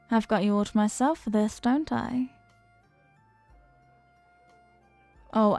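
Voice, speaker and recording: Woman acting a playful flirty female stranger, intimate ASMR roleplay, lightly high voice, teasing murmur, dry close sound, faint music. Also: darkish tone, dry recording. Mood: tender, eager. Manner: coy, teasing, unhurried murmuring delivery.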